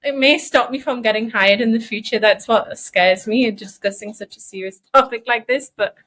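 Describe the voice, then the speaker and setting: A woman's voice: a little high, normal speed, fairly bright tone. Chatty woman with a mixed Geordie accent. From northeast England. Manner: rambling, self-deprecating, chatty. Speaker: podcast co-host. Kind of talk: casual podcast chat.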